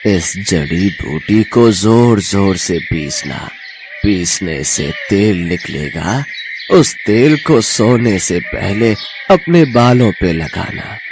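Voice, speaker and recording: A man playing an old herb seller with drawn-out, slow calling, in a cartoon moral story. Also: lowish voice, animated, over music. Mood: instructing.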